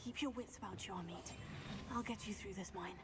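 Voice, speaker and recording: A woman voicing a brave, protective young witch in a video game, steady and reassuring. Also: mid-range voice, a little fast, very noisy, lightly acted. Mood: reassuring, determined.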